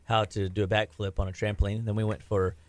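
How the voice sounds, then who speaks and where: A man's voice: on the low side, little pitch movement, fast, dry recording. A middle-aged man, a health practitioner, talking in a casual rambling way with lots of restarts and fillers. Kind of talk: casual podcast chat.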